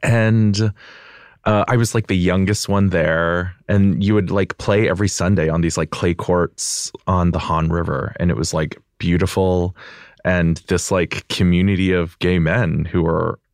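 A young man from the United States speaks as a podcast guest, in a deep gravelly voice. His talk is thoughtful and loose, full of "like" and "you know". The sound is dry and close. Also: fairly even intonation, measured pace, no echo. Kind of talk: reflective interview conversation.

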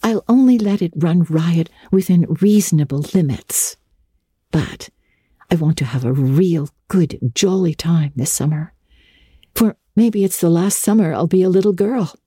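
A woman's voice: on the low side, measured pace, warm tone, slightly rough, dry recording, clean studio sound. A woman voicing an eager, talkative, dreamy young girl in a dramatic storybook reading, gushing with breathless earnestness. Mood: chatty, dreamy eagerness.

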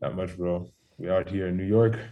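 A man's voice: low voice, normal speed, dull audio. A man in his thirties with a Haitian accent, French his first language, chatting easily as a guest on a podcast. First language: French and Haitian Creole. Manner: relaxed, easygoing, repeats words as he thinks. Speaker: guest DJ and producer. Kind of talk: casual interview chat.

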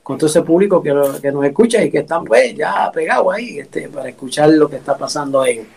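A man's voice: fairly high pitch, expressive, a little fast, creaky, darkish tone, husky. An older man, a guest singer speaking graciously in a casual chat.

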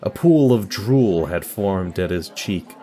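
A man's voice: low voice, lively intonation, slow pace, mellow. A man delivering scripted narration and announcements for an audio drama.

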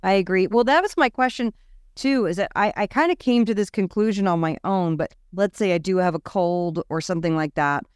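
A woman co-host asks question after question in a loose, chatty way, full of "like" and "you know". Her voice is smooth and clear. The sound is close and dry. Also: medium pitch, fairly quick, no echo. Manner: curious, chatty, lots of questions and fillers. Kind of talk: casual health talk.

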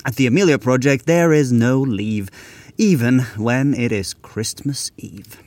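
A man's voice: mid-range voice, measured pace, darkish tone. A man narrating a scripted comic tale in rhyme in a dramatic storytelling manner. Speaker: co-narrator and writer.

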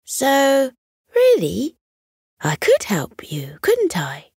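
Woman voicing an eager, earnest young-hearted fox, lilting high. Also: huge pitch swings, drawn-out words, darkish tone, husky, no room sound, clean studio sound, exaggerated voice. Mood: tentative, helpful. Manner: earnest, tentative, coaxing. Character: eager, kind male fox with a big idea. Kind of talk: children's bedtime story character voice.

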